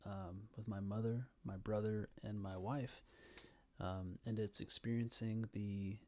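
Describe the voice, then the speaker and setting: Low-voiced young man giving a speech. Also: flat delivery, unhurried, darkish tone. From Canada, Ontario. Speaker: student giving a persuasive speech. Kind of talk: informal prepared speech.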